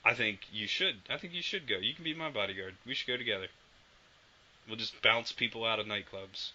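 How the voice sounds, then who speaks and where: A man with a bright, sharp voice, pitched a little low, chatting loosely as a podcast co-host. He rambles and swears offhandedly. The audio is dull and lo-fi, with a faint hiss behind it. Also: brisk. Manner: rambling, swears casually, laughs often. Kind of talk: casual chat.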